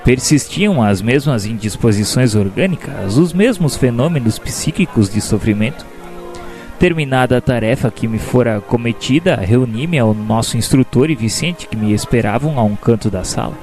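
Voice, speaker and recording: An actor as a humble male spirit narrating his own story in a mid-pitched voice. The delivery is solemn, literary and heartfelt, in religious radio drama style, over clear background music. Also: lively intonation, normal speed, darkish tone, close to an everyday voice. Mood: solemn, reflective. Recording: music bed behind narration.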